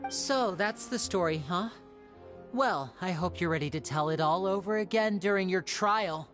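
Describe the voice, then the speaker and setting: Woman playing a lowish-voiced, poised young magician. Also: moderate pace, soft background music, close to an everyday voice. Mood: cool, decisive. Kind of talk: English video game voice acting.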